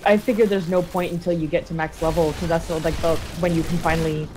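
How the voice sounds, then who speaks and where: A woman's voice: on the low side, flat delivery, quick pace, background noise. A woman hosting a game stream, chatting casually with friends and laughing often. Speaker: streamer host. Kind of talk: casual gaming chat. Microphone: push-to-talk voice chat.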